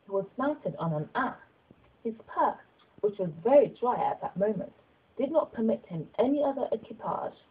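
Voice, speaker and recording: Husky, higher-pitched woman reading a classic novel. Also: expressive, moderate pace, phone-quality sound. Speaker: audiobook reader. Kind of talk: scripted literary narration, read aloud.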